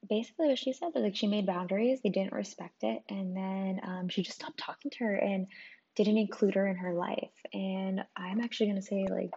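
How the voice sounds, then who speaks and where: Croaky young woman chatting candidly. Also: medium pitch, fairly even intonation, fairly quick, warm tone. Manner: candid, profane, filler-heavy with lots of "like". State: croaky, hoarse voice today. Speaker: podcast host. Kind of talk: casual solo podcast chat. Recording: dull lo-fi sound with clear noise.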